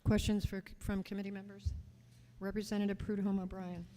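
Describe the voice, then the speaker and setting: A woman chairing a committee hearing, calling on speakers in a brisk, procedural way. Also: mid-range voice, flat delivery, mellow. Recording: distant from mic, long hall reverb.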